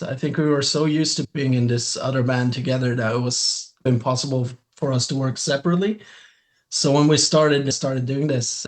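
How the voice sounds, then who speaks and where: A man, a musician guest on a podcast, speaking in English. He talks reflectively and rambles, using many fillers. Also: mid-range voice. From Norway. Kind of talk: casual interview chat.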